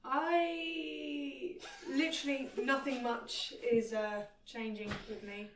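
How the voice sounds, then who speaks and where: A woman's voice: high-pitched, steady tone, slow pace, recorded from a distance, background noise. A woman of twenty chatting playfully on a casual podcast. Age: 20s. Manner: joking, playful. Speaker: podcast co-host. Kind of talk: casual chat. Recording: echoey room, away from the mic.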